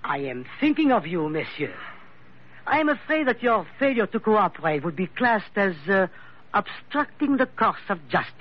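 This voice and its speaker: An actor in an old radio drama playing a suave, suspicious French investigator with a French accent and formal, hesitant, courteous phrasing. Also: male voice, sits high, big pitch swings, moderate pace, slight background noise. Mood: smooth, sly. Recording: dull vintage radio recording.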